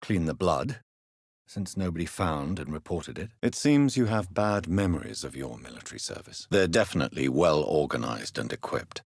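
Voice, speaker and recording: A courteous, grave English doctor and war veteran turned vampire in a video game. His voice is very deep and husky, recorded close and dry. He speaks in formal, precise phrases with a widely moving, dramatic pitch. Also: animated, dry recording, heavily acted. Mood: grave, inquiring. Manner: formal, measured, precise diction.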